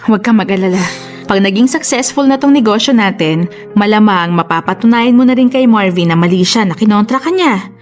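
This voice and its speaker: An actress plays a self-assured Filipina big sister in a radio drama. She speaks at a medium pitch, encouraging and supportive in a conversational way, over faint music. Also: a little fast, lightly acted. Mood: reassuring, concerned. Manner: encouraging, conversational, English-peppered. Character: confident, supportive older-sister business partner, brisk and reassuring.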